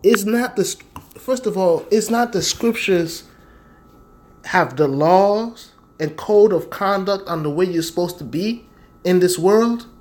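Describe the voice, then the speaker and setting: A man's voice: sits high, slow pace, darkish tone. An American man delivering a fervent, informal sermon in a confrontational, slangy manner, repeating phrases for stress. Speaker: street-style preacher and Bible teacher.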